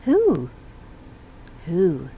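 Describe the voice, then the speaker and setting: A woman's voice: on the low side, wildly swooping pitch, extremely slow, narrow, tinny audio, slight background noise, exaggerated voice. A woman voicing a shy, lonely man from a children's storybook, with earnest emphasis. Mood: wondering. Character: shy lonely man, brightening with wonder and politeness. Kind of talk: storybook character voice.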